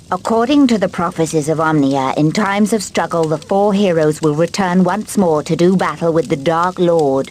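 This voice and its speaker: A woman's voice: mid-range voice, a little mellow, slight background noise, natural voice. A woman playing a solemn mystic guide who proclaims prophecy in a portentous, declamatory way in a video game. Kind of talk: video game cutscene acting.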